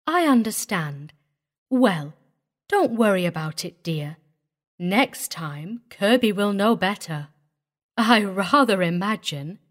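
Woman narrator doing a kind lady welfare worker, gentle, composed, kinda knowing, audiobook character. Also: mid-range voice, lively intonation, slow. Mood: soft, amused. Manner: gentle, cheerful, careless drawl.

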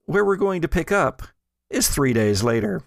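A man narrating a tabletop game as podcast game master, in a casual, halting, improvised and amiable conversational style. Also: on the high side, big pitch swings, vocal fry, no echo, clean studio sound.

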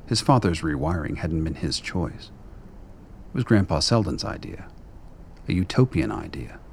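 A man's voice: low voice, sing-song, moderate pace, creaky, darkish tone, slight background noise. A man reading scripted literary narration as the narrator of a radio play.

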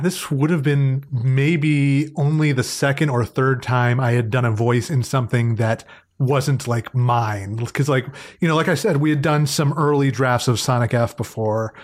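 Male co-host with an average-pitched voice, relaxed informal chat, modest and a little halting. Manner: self-deprecating, hesitant. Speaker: podcast co-host and amateur voice actor. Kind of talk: casual reminiscing chat.

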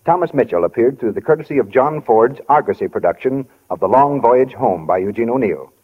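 Muffled vintage radio recording of an American male announcer. His voice is husky and medium in pitch, and he reads formally in a measured 1940s announcing style. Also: animated.

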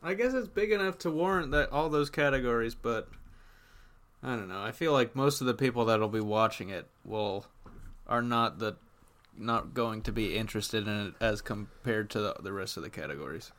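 A man's voice: medium pitch, normal speed, fairly bright tone. A man co-hosting a casual gaming podcast, speaking in a conversational, somewhat tentative way. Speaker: podcast co-host.

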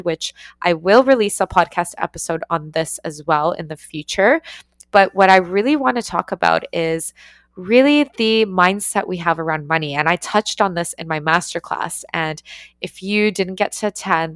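A woman's voice: fairly low pitch, fairly bright tone, no echo. A young woman hosting her own podcast, talking alone in an earnest, encouraging way and repeating words for emphasis. She was born in Ukraine and raised in Canada. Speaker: podcast host. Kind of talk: solo podcast monologue.

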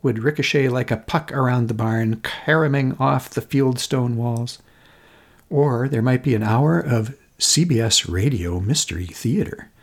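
A middle-aged man from Minnesota with an American accent, reading a personal memoir essay in a measured, literary storytelling manner. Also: mid-range voice. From Minnesota, United States. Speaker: podcast host.

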